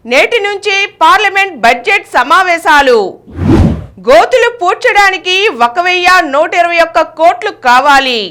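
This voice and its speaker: A woman's voice: very high-pitched, pushed and bright. A woman reading a scripted news bulletin as the presenter. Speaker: news reader.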